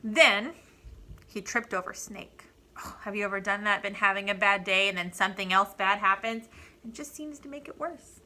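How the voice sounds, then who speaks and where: A woman reading a picture book aloud to children like a teacher, with deliberate emphasis and asides to the young listeners. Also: medium pitch, bright, forceful tone.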